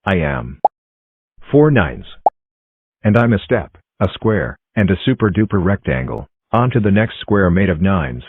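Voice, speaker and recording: Upbeat number-block cartoon characters voiced by a man, deep, on a tinny dry line. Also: sing-song, measured pace, breathy edge, no echo, exaggerated voice. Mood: chatty. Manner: chirpy self-introductions, plain everyday voice. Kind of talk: fan-made cartoon voicing. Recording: tinny phone-like dry recording.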